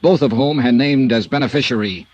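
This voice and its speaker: An actor playing a hardboiled American insurance investigator who narrates in first person and talks in a terse, matter-of-fact way, in a 1950s radio drama. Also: male voice, mid-range voice, a little fast, lo-fi sound, very noisy, lightly acted. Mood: steady. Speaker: narrator and lead detective. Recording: old radio transcription with music bed.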